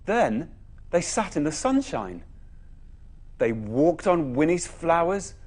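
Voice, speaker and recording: A man reading a children's bedtime story expressively, with dramatic pauses and emphatic phrasing. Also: a little high, big pitch swings, a little mellow.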